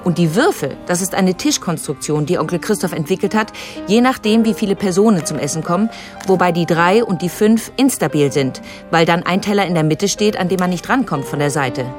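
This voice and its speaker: An actress playing an insistent, probing adult daughter with a pointed manner in a naturalistic radio drama. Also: female voice, fairly low pitch, lively intonation, a little fast, darkish tone, faint music behind, lightly acted. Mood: earnest, insistent. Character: insistent, accusing grown daughter digging up family past.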